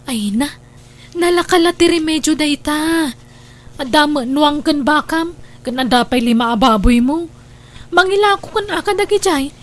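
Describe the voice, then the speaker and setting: A woman's voice: high-pitched, normal speed, natural voice. A woman playing an insistent, coaxing older sister with schemes in a radio drama. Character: pushy, scheming married older sister urging brother abroad. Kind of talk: Ilocano radio drama acting.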